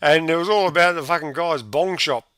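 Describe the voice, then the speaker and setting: A man's voice: on the high side, brisk, pushed and bright. A man with a Yorkshire accent, hosting a livestream and chatting casually on a group call, laid-back and hesitant, laughing often and swearing. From Yorkshire, England. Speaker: livestream host.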